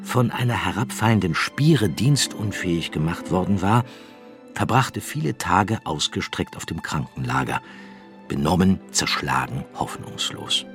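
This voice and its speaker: A middle-aged man narrates a literary radio play in a deep voice. His storytelling is measured and dramatic, with faint music underneath. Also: lively intonation.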